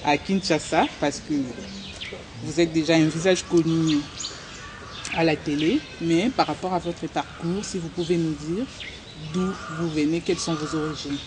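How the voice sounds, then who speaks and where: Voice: mid-range voice, steady tone, moderate pace, noisy background. An interviewer hosting a talk program, asking short, prompting questions. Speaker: interviewer host.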